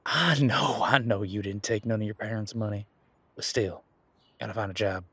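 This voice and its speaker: A man playing a laid-back, responsible werewolf security guard in a casual roleplay audio, talking in a colloquial, halting, matter-of-fact way with a husky voice. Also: on the low side, sharp tone, no echo, heavily acted. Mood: sheepish, defensive.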